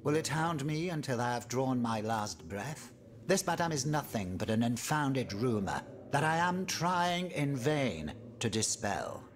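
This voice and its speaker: A man's voice: mid-range voice, very animated, a little slow. Theatrical, swooping statesman. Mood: suave, wry. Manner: theatrical, florid, courtly. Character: pompous, silky French revolutionary statesman, a smooth schemer. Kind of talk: video game cutscene acting.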